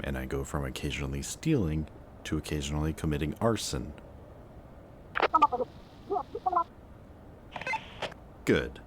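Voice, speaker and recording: A man playing a sardonic, gruff but protective antihero villain in an intense, emotional audio roleplay. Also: a bass voice, a little hiss or hum, full character voice. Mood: sardonic, teasing.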